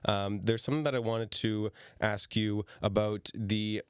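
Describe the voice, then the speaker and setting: A man's voice: lowish voice, steady tone, unhurried, clean tone, no echo, clean studio sound. A man with a Montreal English accent co-hosting a podcast, asking questions in a casual, joking, self-deprecating way. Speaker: podcast co-host. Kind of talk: casual interview chat.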